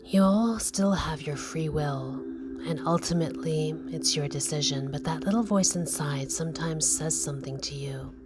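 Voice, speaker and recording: A woman gently guiding a meditation in a soft, breathy, slow and soothing voice. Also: sits low, background noise. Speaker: meditation guide. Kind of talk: guided meditation narration. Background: music.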